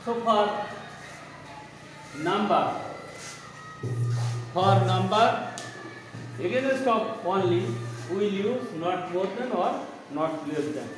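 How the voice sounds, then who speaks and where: A man, teaching a grammar lesson slowly and repeating phrases as he writes on the board. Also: high voice, animated, over music. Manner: slow, repeats phrases while writing on a board. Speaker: school English teacher. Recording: echoey room, distant mic, background noise.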